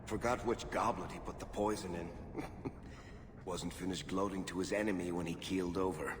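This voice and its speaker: An actor playing a roguish, easygoing dwarf with a wry, conversational manner in a fantasy video game. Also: male voice, sits low, fairly even intonation, normal speed, close to an everyday voice. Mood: amused. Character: wisecracking male dwarf storyteller, roguish and easygoing. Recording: echoey cavernous reverb, noisy ambience behind.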